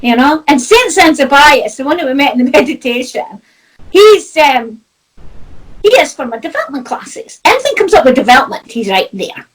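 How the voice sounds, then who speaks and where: Lively high-voiced Scottish woman. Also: normal speed, distant mic, rough recording. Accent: Scottish (Doric). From Scotland. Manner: chatty, laughing, tells anecdotes with lots of "you know". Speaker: spiritual medium and host. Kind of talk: casual chat about spirituality.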